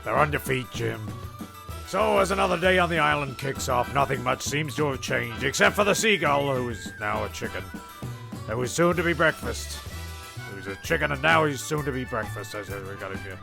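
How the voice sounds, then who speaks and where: A man's voice: medium pitch, lively intonation, a little fast, vocal fry, over music. A man playing the wry, slightly exasperated narrator of a castaway comedy radio play, stumbling now and then.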